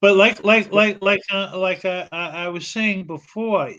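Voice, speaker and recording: A muffled, low-fidelity recording of an American man with a slightly high voice. He speaks off the cuff as a guest in a political discussion. His delivery is halting, with repeated words and frequent checks that the listener follows. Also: pushed and bright. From United States. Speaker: interview guest, social advocate.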